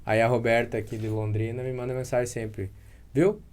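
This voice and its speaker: Male podcast guest, average pitch, conversational and informal delivery. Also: level tone, brisk, a little mellow. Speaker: podcast guest, dental sales mentor.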